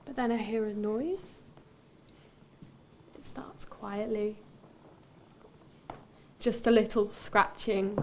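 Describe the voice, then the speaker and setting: A woman playing a haunted, introspective character who recounts a dream in deliberate, broken phrases, as a poetic dramatic monologue. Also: mid-range voice, slight background noise, lightly acted. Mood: uneasy, intense.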